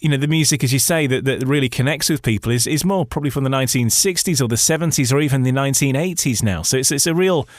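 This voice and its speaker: Male radio host, halting and friendly, dry close sound, mid pitch. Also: no echo. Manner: hesitant, many fillers and restarts, friendly. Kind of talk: radio interview.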